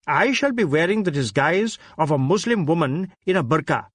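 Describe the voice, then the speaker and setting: A man's voice: fairly high pitch, animated, normal speed, fairly bright tone, dry recording, studio quality, pitched above the performer's own voice. A man voicing a self-important police superintendent, clipped and commanding, in an audio drama. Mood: brisk, boastful.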